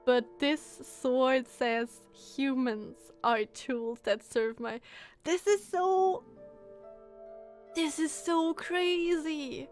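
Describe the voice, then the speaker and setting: A woman's voice: high voice, fairly even intonation, extremely slow, faint music behind. A woman streamer giving casual commentary on a game, reacting expressively with exclamations and musing questions.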